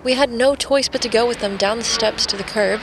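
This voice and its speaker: A woman's voice: a little high, fairly quick, noisy background, lightly acted. An actress playing a young woman artist, telling her adventure as first-person narration in an audio drama. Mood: tense recounting.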